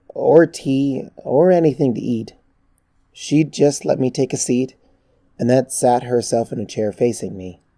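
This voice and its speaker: A narrator reading a first-person story in a measured, reflective way. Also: mid-range voice, dark tone, no echo, studio quality. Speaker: narrator of fan fiction.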